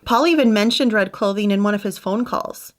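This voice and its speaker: A woman narrates a true crime case in a relaxed, chatty way, stopping for her own asides and doubts. Her voice sits at an ordinary middle pitch. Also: a little fast. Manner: conversational, with personal asides and doubts. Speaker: true crime channel host.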